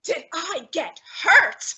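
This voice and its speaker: A woman's voice: very high voice, lively intonation, takes it slowly, pushed and bright, heavily acted. A woman playing an indignant married battle-axe in an old-time radio comedy, scolding shrilly with exclamations and insults.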